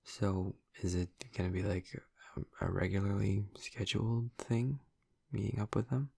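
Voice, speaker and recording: Husky, low-voiced gentle vampire boyfriend, played by a man. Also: level tone, no echo. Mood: caring, attentive. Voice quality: husky, breathy, creaky. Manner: soft, halting, breathy, tentative. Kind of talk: intimate audio roleplay, speaking softly to the listener. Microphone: close, dry microphone.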